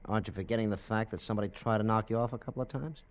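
A man's voice: lowish voice, racing, lightly acted. An actor playing a brash, blunt man among stranded expatriates in a 1940s radio drama. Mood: suspicious.

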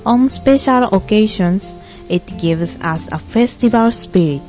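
A woman's voice: mid-range voice, lively intonation, unhurried, a little mellow, close to an everyday voice. A young woman playing an earnest Buddhist panelist in a scripted student stage play, over music. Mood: earnest, explanatory. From Japan. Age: young adult. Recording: music behind the voice.

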